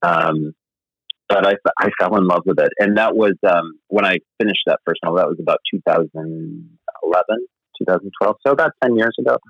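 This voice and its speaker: Low-voiced, hesitant man on a muffled line. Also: brisk, no echo. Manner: rambling and hesitant, full of restarts, self-deprecating. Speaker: novelist guest. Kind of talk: casual interview chat. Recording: slightly muffled dry sound.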